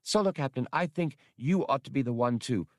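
Guy doing an alien cat lady from a space audiobook, pitched up, formal and dramatic, very swoopy. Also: lively intonation, brisk, dry recording, clean studio sound, natural voice. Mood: reluctant, objecting. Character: reluctant, formal female alien feline co-pilot, a mother.

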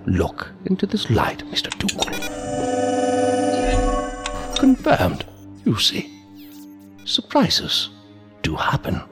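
A man's voice: high voice, wildly swooping pitch, slow pace, music in the background, full character voice. A man playing a sinister, courtly, inhuman villain in an audio drama, verbose and mockingly polite in a mannered, theatrical way. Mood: quietly menacing. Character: sinister, courtly, unhurried villain, inhuman interrogator posing as a gentleman. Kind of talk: science fiction audio drama acting.

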